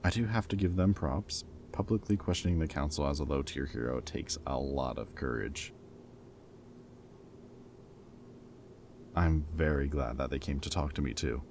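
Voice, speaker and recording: A man's voice: very deep voice, fairly quick, few pauses, a little mellow, a little hiss or hum. A man playing a teasing but caring ex-villain in an intimate roleplay audio drama, speaking in casual, wry banter. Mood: amused, planning. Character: teasing, caring male ex-villain planning with old hero friend.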